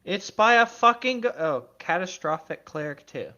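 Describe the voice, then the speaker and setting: Dull lo-fi mic, higher voiced guy reading posts out loud, chatty, blunt, jokey. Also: animated, moderate pace. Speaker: co-host reading online tabletop gaming horror stories. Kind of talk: casual banter mixed with reading stories aloud. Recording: dull, lo-fi microphone sound.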